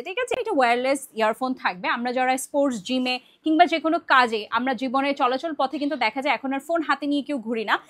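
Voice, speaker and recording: A woman with a Bangladeshi accent presenting a product promo for an online shop, in a conversational sales pitch sprinkled with English words.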